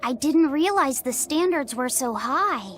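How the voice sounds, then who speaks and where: High-voiced gentle young boy. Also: lively intonation, unhurried, slight background noise. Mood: curious. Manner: earnest, questioning. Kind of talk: English video game voice acting. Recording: game music under the voice.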